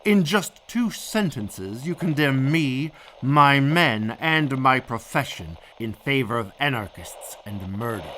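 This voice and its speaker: A man's voice: medium pitch, lively intonation, measured pace, hardly any pauses, pushed and bright, slight background noise, low-quality recording. Man playing a stern old-time police commissioner. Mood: indignant. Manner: stern, scolding, authoritative. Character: stern, indignant male police commissioner, gruffly authoritative. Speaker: police commissioner, phone-in caller. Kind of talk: 1940s radio drama acting.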